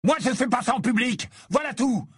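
A man's voice: extremely high pitch, expressive, brisk, husky, dry recording, clean studio sound, pitched above the performer's own voice, full character voice. A man voicing an indignant, hot-tempered teenage brother in a storybook, barking and blustering.

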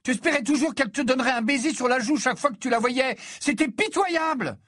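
A man voicing a furious, defiant teenage girl from a storybook, shouting and mocking with heavy emphasis. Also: sits high, sing-song, normal speed, dry recording, studio quality, pitched above the performer's own voice. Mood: raging.

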